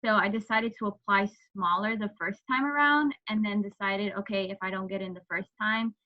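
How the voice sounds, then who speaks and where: A medium-pitched woman's voice comes through dull, lo-fi video-call audio. She is a medical student on the panel and explains her choices in an earnest, reflective way. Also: a little fast. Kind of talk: casual account on a video call.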